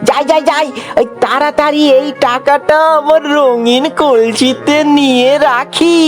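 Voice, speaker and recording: A very high, slow-paced cartoon voice for a gullible fox husband. He is an excitable simpleton who exclaims and repeats himself in a sing-song manner, with light music behind him. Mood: gleeful boasting. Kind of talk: comic cartoon dialogue.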